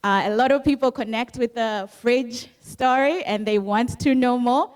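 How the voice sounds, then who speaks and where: A woman's voice: on the high side, normal speed, clean tone. A woman from Zambia, Bemba her first language, talking earnestly and reflectively about her book at a book club. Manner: earnest, reflective, repeats key phrases. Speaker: author.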